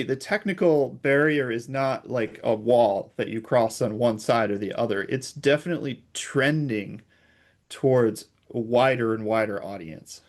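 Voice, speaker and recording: A man speaking as an interview guest, giving informal, conversational explanations as a technical expert. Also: medium pitch.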